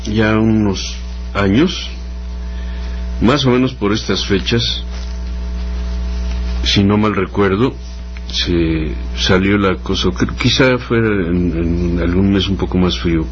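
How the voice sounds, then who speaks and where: A Mexican man hosts a radio show in a low-pitched voice. He speaks slowly and haltingly, feeling his way through unscripted remarks. The sound is dull and lo-fi. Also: steady tone, a little hiss or hum. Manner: halting, hesitant, repeating words. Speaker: radio host. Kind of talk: unscripted radio talk introducing music.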